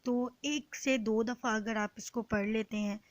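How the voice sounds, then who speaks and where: A woman with a Pakistani accent giving an explanatory lecture in a deliberate, instructive way, repeating points in other words. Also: fairly high pitch, fairly even intonation, no echo. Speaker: law lecturer. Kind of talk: explanatory exam-prep lecture, reading and translating.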